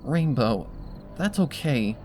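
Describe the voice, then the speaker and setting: A man's voice: mid-range voice, very animated, slow pace, darkish tone, soft background music. A man voicing an anxious, guarded young female pony, hesitant and polite, in a storybook reading. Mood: protesting.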